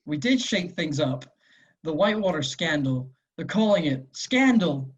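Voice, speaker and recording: A man's voice: fairly high pitch, sing-song, unhurried, husky, heavily acted, low-quality recording. A man playing an encouraging young journalist, insistent and coaxing, in an amateur script table read. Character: encouraging young male journalist, supportive drinking companion.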